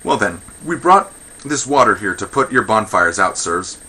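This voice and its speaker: A man's voice: lowish voice, very animated, moderate pace, bright, forceful tone, husky, heavily acted. A man voicing defiant, fiery Athenian women in a verse comedy, taunting and threatening in a declamatory way.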